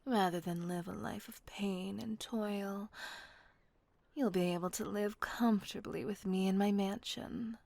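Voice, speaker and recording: A woman voicing a gentle, possessive female vampire mistress in a whispered audio roleplay, slow and coaxing. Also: mid-range voice, steady tone, no room sound. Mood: soothing whisper. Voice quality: breathy whisper. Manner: slow, coaxing, softly reassuring.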